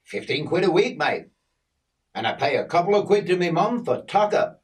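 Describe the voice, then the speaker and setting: A man's voice: on the high side, very animated, normal speed, recorded from a distance, pitched above the performer's own voice. A man voicing a friendly, chatty young country lad with an Australian accent. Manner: chatty, easygoing.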